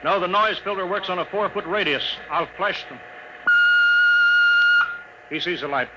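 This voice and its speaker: A man's voice: sits high, moderate pace, lightly acted. An American man acting a commanding, urgent chief engineer in a 1950s radio drama, giving clipped, authoritative orders. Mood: urgent, brisk. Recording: hissy vintage 1950s radio broadcast.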